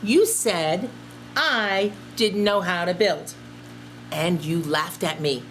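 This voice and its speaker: A woman voicing a determined, self-reliant little girl from a children's storybook, speaking in a deliberate, emphatic way. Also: fairly low pitch, faint music behind. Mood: indignant. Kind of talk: children's storybook read-aloud.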